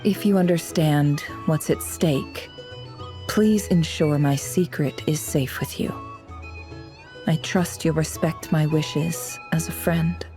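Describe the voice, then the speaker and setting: A woman playing a shrewd, polite intelligence operative in a video game, measured and knowing. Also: sits low, fairly even intonation, dark tone, faint music behind. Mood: calm warning. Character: composed, shrewd woman spymaster, politely calculating. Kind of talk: video game cutscene acting.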